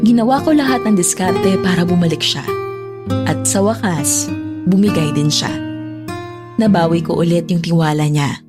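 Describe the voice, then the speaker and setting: A woman's voice: on the low side, normal speed, pauses often, loud music behind the voice, close to an everyday voice. A woman playing a possessive, reflective character confessing in an audio drama monologue. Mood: brooding.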